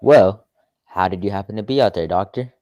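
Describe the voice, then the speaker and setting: A man hosting a radio show with scripted, deliberate, presentational announcing. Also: on the low side, warm tone, dry recording, lo-fi sound.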